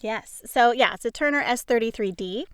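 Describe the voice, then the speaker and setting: A woman's voice: fairly high pitch, normal speed, smooth, no room sound. A woman voice actor from the Pacific Northwest, chatting casually in an enthusiastic, self-deprecating way on a close mic. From Pacific Northwest, United States. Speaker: voice actor guest. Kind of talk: casual chat. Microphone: close studio mic on a video call.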